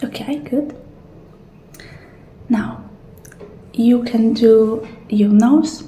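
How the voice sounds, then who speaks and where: A woman doing a soft, partly whispered ASMR roleplay as a gentle attendant walking a client through options. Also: on the high side, drawn-out words. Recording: roomy echo, faint background noise.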